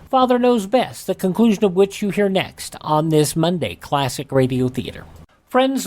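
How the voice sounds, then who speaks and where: A man's voice: fairly high pitch, a little mellow. A man hosting a radio program, reading scripted news items and announcements as narration. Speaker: radio show host.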